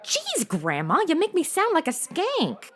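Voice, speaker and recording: A woman's voice: very high-pitched, huge pitch swings, measured pace, heavily acted. A woman playing a sassy modern young woman in a comedy audio drama, slangy and flippant.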